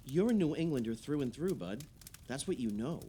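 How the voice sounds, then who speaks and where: An actor playing an earnest, outspoken and supportive friend in an audio play, talking in a blunt, chummy, naturalistic way. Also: male voice, mid-range voice, very animated, brisk, dark tone, slight background noise, close to an everyday voice. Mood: curious, chummy.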